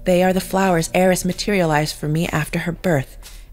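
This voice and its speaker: A woman's voice: fairly low pitch, steady tone, a little fast, close to an everyday voice. Woman playing a formal, stilted, precise woman. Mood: suspicious, formal. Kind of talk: audio drama acting.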